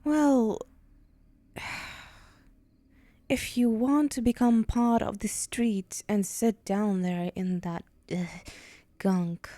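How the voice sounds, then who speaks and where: A woman voicing a haughty, teasing and menacing demon in an ASMR roleplay, condescending and deliberate, with breathy whispered moments. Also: mid-range voice, steady tone, warm tone, no echo. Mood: disdainful, impatient.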